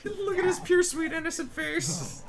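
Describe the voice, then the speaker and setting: A man's voice: very high-pitched, fairly even intonation, measured pace, creaky, faint music behind. A man bantering casually, tossing in goofy asides and silly sound effects. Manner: playful, interjects goofy asides and put-on voices. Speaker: fandub co-performer.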